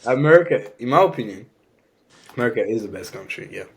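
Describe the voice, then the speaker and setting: A man's voice: mid-range voice, animated, quick pace, vocal fry, low-quality recording. A young man from Rwanda, a French and Rwandan speaker, chatting easily as a podcast guest with lots of "you know what I'm saying.". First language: a Rwandan language; also French. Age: young adult. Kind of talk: casual conversation.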